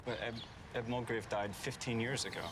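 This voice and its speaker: A man's voice: medium pitch, fairly even intonation, brisk, lightly acted. A man playing a fussy, foreboding mansion caretaker in a comedic game cutscene. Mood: ominous. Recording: loud music under the dialogue. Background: loud music.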